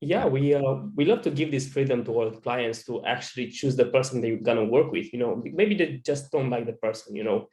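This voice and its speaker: A man's voice: mid-range voice, a little fast, hardly any pauses, a little mellow. A man with a Romanian accent answers interview questions in a mid-range voice, casual and earnest, filling his speech with "you know". He comes through a video call that sounds roomy and echoey. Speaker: guest, business owner.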